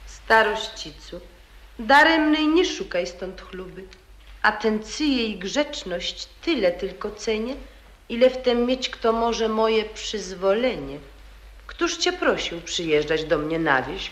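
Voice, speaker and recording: A woman's voice: mid-range voice, fairly bright tone. An actress playing a proud, prudent young widowed noblewoman in an old verse radio play, speaking in a cool, reproachful way. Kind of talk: 1940s radio acting in verse. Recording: echoey old radio archive.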